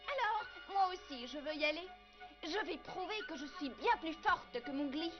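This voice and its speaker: A woman's voice: very high voice, animated, normal speed. A woman voicing a bossy, competitive young female wolf cub in a dubbed cartoon. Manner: bossy, scolding. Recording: muffled cartoon soundtrack with music.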